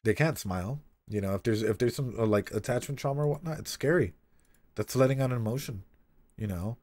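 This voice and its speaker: A man streaming a casual read-aloud with commentary, talking in an animated, swearing way with frequent asides. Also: medium pitch, fairly quick.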